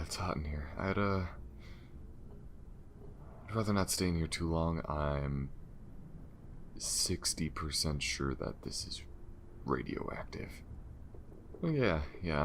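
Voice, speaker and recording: A man's voice: fairly bright tone, a little hiss or hum, full character voice. A man voicing an injured, wary alien spacefarer in an ASMR audio roleplay, speaking in a hesitant, casual mutter with a wry edge. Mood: curious, cautious. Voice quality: very deep, gravelly. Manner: hesitant, casual, muttering with sighs and half-whispers. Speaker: voice actor. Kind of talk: ASMR audio roleplay, one side of a conversation.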